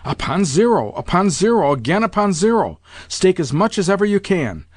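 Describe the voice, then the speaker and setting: A man's voice: fairly high pitch, expressive, normal speed, no room sound. A man voicing an imperious, impatient old grandmother in an audiobook, full of commands and exclamations. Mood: feverish, insistent. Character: imperious, excitable old Russian grandmother addicted to roulette. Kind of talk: audiobook character voice.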